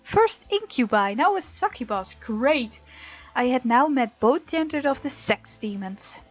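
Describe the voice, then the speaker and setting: A woman hosting a let's play, reading game text aloud dramatically and reacting with animated sass. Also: high voice, measured pace. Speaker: gaming channel host. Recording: slightly dull, lo-fi, game music behind. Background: soft game music.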